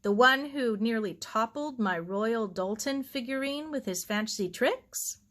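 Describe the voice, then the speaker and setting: A woman storyteller acts a middle-aged storybook aunt, calm and sensible. She chides and teases kindly, in a higher, lively voice that takes its time. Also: a little slow. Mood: teasing.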